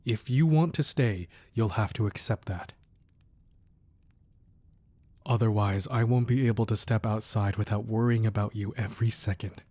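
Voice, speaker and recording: A man playing a protective, romantic crime boss husband in an intimate ASMR roleplay, soft and hushed, tender with an edge of menace. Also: fairly low pitch, no room sound, natural voice. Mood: firm, protective. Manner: slow, deliberate, tender murmuring with pauses.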